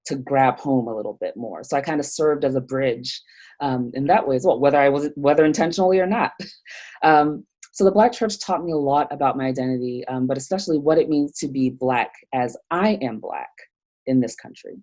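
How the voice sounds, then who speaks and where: A woman from Nigeria talks things through in an interview, pausing often and restarting her thoughts. Her voice sits very low and sounds mellow and dark. She speaks reflectively rather than with polish. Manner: thoughtful, hesitant, many fillers and restarts. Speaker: theologian guest.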